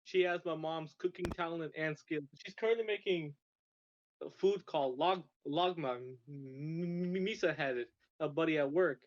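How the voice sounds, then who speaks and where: A man's voice: a little high, moderate pace. A young man from Russia telling stories as a podcast guest, rambling with frequent restarts and stammers. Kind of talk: casual storytelling. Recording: dull lo-fi sound.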